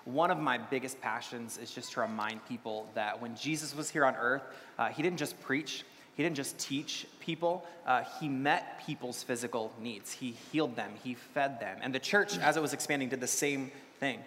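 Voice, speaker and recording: A man's voice: medium pitch, normal speed, a bit bright. A man in his twenties, a church outreach pastor, answering interview questions on stage in an upbeat, playful way. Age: 20s. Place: large church hall. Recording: reverberant hall, faint noise.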